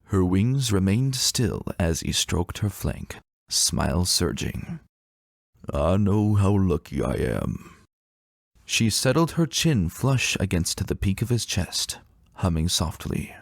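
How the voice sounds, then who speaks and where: A man giving an expressive, dramatic narration of romantic fiction in a literary storytelling manner. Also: deep voice, slow pace, gravelly, dry recording, clean studio sound.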